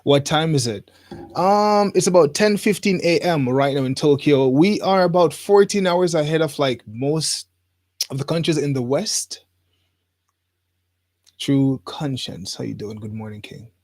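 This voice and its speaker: A man from Jamaica hosting a livestream, chatting informally to viewers in a rambling way and pausing as he goes. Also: on the high side, a little mellow, no room sound, clean studio sound. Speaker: livestream host. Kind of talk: casual livestream chat to viewers. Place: studio.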